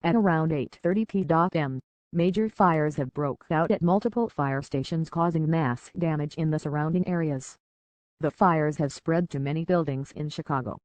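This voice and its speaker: A woman's voice: deep voice, normal speed, darkish tone, no echo, close to an everyday voice. A synthetic-sounding woman playing a TV news reporter, reading a scripted breaking news report formally.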